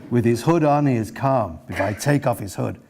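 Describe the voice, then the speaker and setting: A man reading aloud as a stern, protective schoolboy who speaks in formal, measured, unyielding phrases. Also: mid-range voice, gravelly, a little mellow, slight background noise, close to an everyday voice. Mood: solemn, quietly threatening. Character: stern, protective foreign schoolboy, defiant and solemn.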